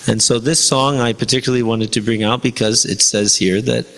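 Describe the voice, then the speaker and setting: Male teacher, Spanish first language, gravelly voice, casual class talk. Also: mid-range voice, fairly even intonation, fairly quick, fairly bright tone. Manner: conversational, many fillers and rhetorical "right?". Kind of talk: informal devotional class talk.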